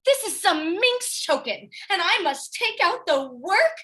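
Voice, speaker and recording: A woman playing a jealous, sharp-tongued spurned lover in a Shakespearean radio drama, with a scolding manner. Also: extremely high pitch, very animated, a little slow, heavily acted.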